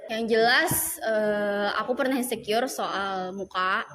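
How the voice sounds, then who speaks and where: A woman's voice: medium pitch, takes it slowly, a bit bright. A nineteen-year-old woman talking casually in an interview, hesitant and searching for her words. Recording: reverberant hall, faint noise and clicks.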